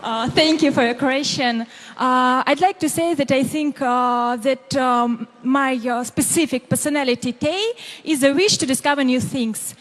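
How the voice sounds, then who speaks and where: A young female contestant with a Belarusian accent at a stage microphone, high voice searching haltingly for words in a reverberant hall. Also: fairly even intonation, a bit bright. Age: young adult. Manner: hesitant, halting. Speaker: pageant contestant. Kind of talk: self-introduction on stage.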